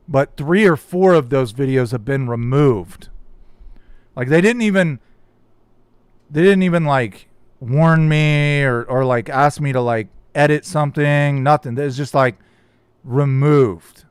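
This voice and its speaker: A man's voice: mid-range voice, slow, clean tone, no room sound. Man hosting a casual live chat. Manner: rambling, reads viewer comments aloud. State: voice starting to crack. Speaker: YouTube host reading live chat.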